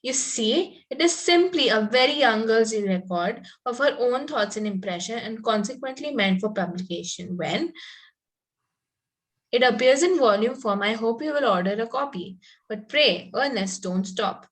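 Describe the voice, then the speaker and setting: A woman's voice: fairly high pitch, lively intonation, moderate pace, close to an everyday voice, poor audio. A woman reading the part of a fanciful, coy Victorian young lady in an amateur table read, prim and earnest, with occasional stumbles.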